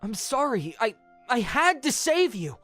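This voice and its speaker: A performer playing an earnest, gentle, self-sacrificing young man in an audio drama, with heartfelt delivery. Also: medium pitch, sing-song, a little slow, faint music behind. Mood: apologetic, earnest.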